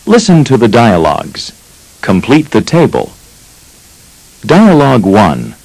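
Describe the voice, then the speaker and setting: A man reading instructions as narrator of a language course, slow and deliberate. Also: medium pitch, huge pitch swings, vocal fry, a little mellow, husky, poor audio.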